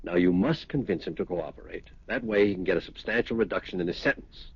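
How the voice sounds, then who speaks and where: An actor playing a grave, persistent police lieutenant in a 1970s radio drama, measured and matter-of-fact. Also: male voice, deep voice, husky. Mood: insistent. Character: persistent, grave male police lieutenant breaking bad news. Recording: dull vintage radio recording.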